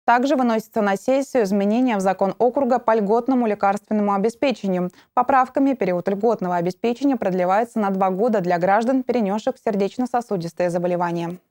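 A young woman anchoring a regional TV newscast from a studio, reading scripted news in an even, formal delivery. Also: medium pitch, dry recording.

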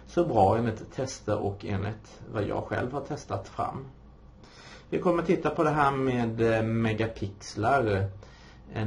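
A man in his forties with a fairly low voice talks to a camera like an instructor introducing a class. He goes haltingly, pausing often and asking questions that he then takes up. There is some background noise in the small room. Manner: halting, explanatory, with frequent pauses and self-posed questions. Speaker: photography course instructor. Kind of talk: informal course presentation to camera. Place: small indoor office room.

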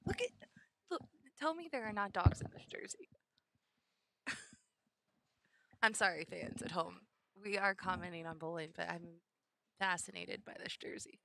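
Gravelly-voiced, giggly female bowling commentator. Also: on the low side, unhurried, pauses often, bright, forceful tone. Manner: chatty, giggly, easily sidetracked. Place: commentary booth at a bowling center.

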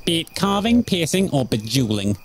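Casting: man as cheeky bigger demon head, brash, animated, podcast drama, faint crickets behind. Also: fairly high pitch, fairly quick, a little hiss or hum. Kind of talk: audio fiction podcast acting. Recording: faint night insect ambience behind.

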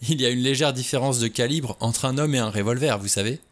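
A man's voice: mid-range voice, normal speed, natural voice. A man reading an audiobook, voicing a cool, self-assured man with a dry, mocking way of talking.

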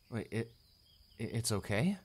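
A man's voice: lowish voice, a little hiss or hum. A man playing a shy, stammering male student. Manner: stammering, hesitant, apologetic. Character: shy, flustered, kind-hearted male student classmate. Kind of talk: romantic audio roleplay acting.

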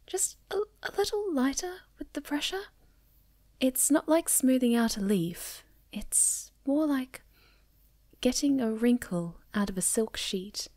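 A woman playing a sweet, timid young moth girl in an ASMR roleplay, speaking softly and breathily with hesitant stammers. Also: sits high, lively intonation, measured pace. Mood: nervous, delicate. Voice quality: soft, breathy, often near a whisper.

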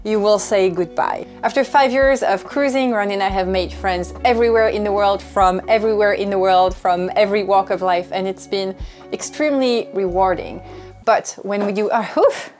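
A woman's voice: medium pitch, moderate pace, darkish tone. A woman in her thirties talking casually and candidly to camera, explaining things as a vlogger on a lapel mic. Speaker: sailing vlogger. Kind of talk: casual vlog talk to camera. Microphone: clip-on wireless lapel mic. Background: background music.

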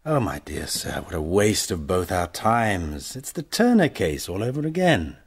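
An actor playing a suave, arrogant murderer in a radio drama, theatrical and condescending, with a British accent. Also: male voice, low voice, sing-song, measured pace, breathy edge, full character voice. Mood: mocking. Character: suave, arrogant male murderer, mocking and cool.

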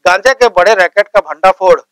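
A man's voice: high-pitched, fairly bright tone, clear, resonant tone, no echo. Male news anchor reading the intro. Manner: formal, measured read delivery.

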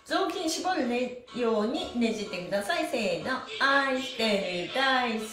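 A woman's voice: fairly high pitch, animated, measured pace, fairly bright tone. A middle-aged woman leading a stretching lesson in a polite, bubbly, sing-song way, talking to a camera in a small room. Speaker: stretching instructor and livestream host. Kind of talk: cheerful exercise lesson, chatting and counting chants. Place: small indoor studio room, sitting on a mat. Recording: echoey room with music.

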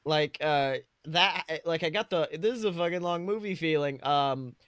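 Male podcast co-host, bright forceful voice, dry sound, casual, animated, swearing freely, comedic. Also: a little high, normal speed, clear, resonant tone, no echo. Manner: animated, profane, acts out imagined quotes.

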